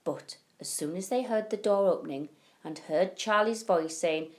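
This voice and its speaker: A woman reading a children's bedtime story expressively, with deliberate phrasing and drawn-out key words. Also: lowish voice. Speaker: primary school teacher reading to pupils.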